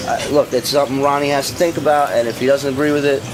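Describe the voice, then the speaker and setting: A man's voice: fairly even intonation, quick pace. A man in a casual, unscripted argument, speaking in a blunt, insistent way with some swearing. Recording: clearly noisy, ambient rumble.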